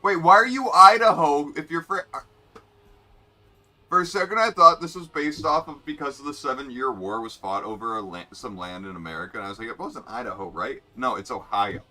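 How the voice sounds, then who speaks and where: A man's voice: medium pitch, fairly quick, bright, forceful tone, poor audio. A young man streaming a racing game, rambling and joking as he reads out player names, with faint music behind. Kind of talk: casual live game commentary.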